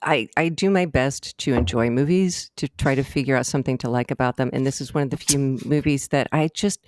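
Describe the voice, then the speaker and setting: A woman's voice: a bass voice, fairly quick, slight background noise. Earnest woman co-host, emphatic. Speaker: podcast co-host. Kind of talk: casual podcast chat.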